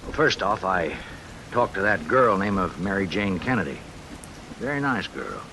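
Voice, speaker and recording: A man's voice: deep voice, very animated, normal speed, rough recording. An actor playing a weary, fatherly sheriff with an American accent, needling in a folksy, dry way in an old radio drama. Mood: dry reproach. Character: weary, wry small-town sheriff, fatherly but needling. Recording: hissy old radio recording with rain.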